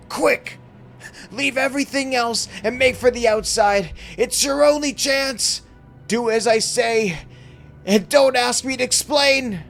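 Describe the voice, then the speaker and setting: A man's voice: fairly bright tone, heavily acted. A man voicing a terrified, doomed man crying out from a vault in a strained, shaky, frantic voice, in a horror story reading. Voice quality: strained, shaky, pushed high. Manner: frantic, urgent pleading.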